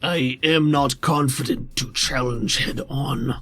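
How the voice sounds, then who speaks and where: A man acts out a massive, guarded scavenger in a tabletop game. The voice is husky and very deliberate, with halting, stilted phrasing. Faint music plays underneath. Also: medium pitch, fairly bright tone. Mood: guarded, terse. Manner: halting clipped sentences, stilted formal grammar. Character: huge taciturn scavenger brute, terse and halting. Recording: music behind.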